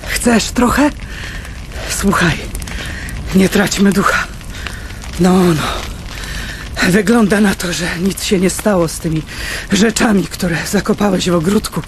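An actress playing a generous bombing survivor in a radio play, consoling her family with gentle, halting encouragement. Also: fairly low pitch, background noise, close to an everyday voice. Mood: consoling, weary.

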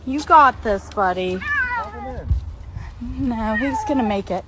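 A woman's voice: on the high side, animated, slow pace. A woman talking casually and coaxingly to her pet while filming outdoors on a phone. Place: outdoors by a waterside dock. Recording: noisy outdoor phone video.

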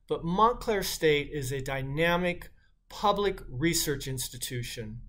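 A middle-aged man with a slightly high voice delivers a prepared welcome speech. He speaks as a university dean, recorded at desk distance in a small office. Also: fairly bright tone.

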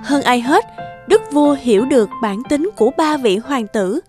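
A woman's voice: high-pitched, lively intonation, normal speed, a little mellow, faint music behind. A woman reading scripted fairy-tale narration as the narrator.

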